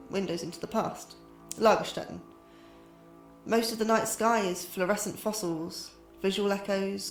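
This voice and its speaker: An actress playing a young astrobiologist, anxious and wry, with a dry, scholarly way of talking. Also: female voice, mid-range voice, lightly acted. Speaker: lecturer. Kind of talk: scripted lecture in audio drama. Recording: roomy echo, faint music behind.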